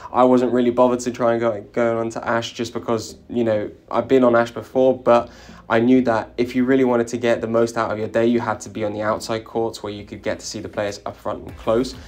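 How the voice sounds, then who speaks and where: A man's voice: medium pitch, brisk. A young man talking casually as a vlogger over his footage, filling his sentences with easy asides. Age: young adult. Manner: chatty, relaxed, many "you know" fillers.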